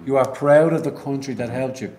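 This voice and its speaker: A man's voice: mid-range voice, a little fast, a little mellow, a little hiss or hum. Irish guy hosting a live stream, blunt and sweary, roomy echo. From Ireland. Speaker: livestream host. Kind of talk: casual live-stream chat.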